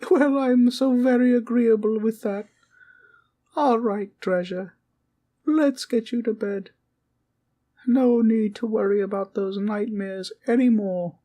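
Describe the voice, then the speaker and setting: Woman voicing a sweet, slow-talking little teddy bear. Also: fairly high pitch. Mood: warm, playful. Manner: sweet, polite, old-fashioned wording with soft giggles. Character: small, kindly awakened teddy bear guardian, childlike and courtly. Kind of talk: comfort audio roleplay, soothing bedtime talk.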